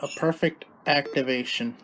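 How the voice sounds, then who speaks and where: A man's voice: mid-range voice, normal speed, fairly bright tone, slight background noise, rough recording. A man hosting a hobby video, giving casual commentary as he checks radio equipment results.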